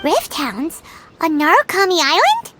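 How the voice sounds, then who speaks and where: Tiny chatty video game companion: extremely high squeaky child voice, animated, indignant, over loud noise. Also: female voice, unhurried, heavily acted. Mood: curious. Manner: perky, exclamatory.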